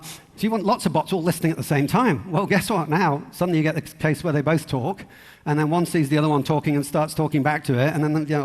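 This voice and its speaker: Male conference presenter, fairly high-pitched, informal and wry storyteller, recorded with hall reverberation. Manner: conversational, wry, anecdotal. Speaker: conference speaker.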